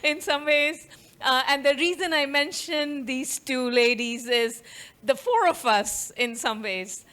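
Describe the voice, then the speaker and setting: A middle-aged woman from India with an Indian accent, giving a quick, conversational talk in a university auditorium and laughing often. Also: high-pitched, bright, forceful tone. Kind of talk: conference talk to an audience.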